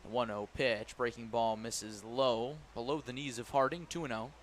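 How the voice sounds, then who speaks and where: A man calling a baseball game live on the radio as the play-by-play announcer, animated on big plays and playful with his phrasing. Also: mid-range voice, moderate pace, a little hiss or hum. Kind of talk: live radio sports commentary. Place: live at a ballpark broadcast position.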